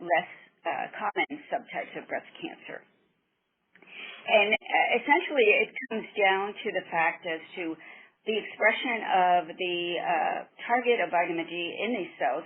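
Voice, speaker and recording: A woman research scientist being interviewed by telephone, explaining technical matters in a measured, careful way. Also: fairly low pitch. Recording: muffled narrowband phone line.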